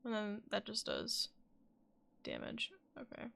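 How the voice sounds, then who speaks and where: A woman's voice: medium pitch, huge pitch swings, moderate pace, vocal fry, sharp tone. A woman giving casual gameplay commentary as she streams. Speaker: game streamer.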